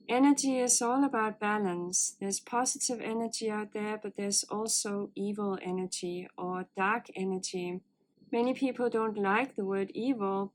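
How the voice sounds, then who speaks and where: A woman giving a spiritual oracle card reading to her viewers, in a calm, earnest and gently encouraging way. Her voice is low and a little hoarse, as if she has a cold. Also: slight background noise, rough recording.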